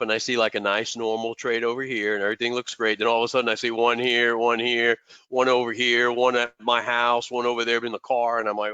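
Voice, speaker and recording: A man's voice: medium pitch, sharp tone, smooth, dry recording, highs cut off. A man teaching trading in a loose live session, congested and rambling, often repeating words. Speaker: trading mentor. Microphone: desk microphone.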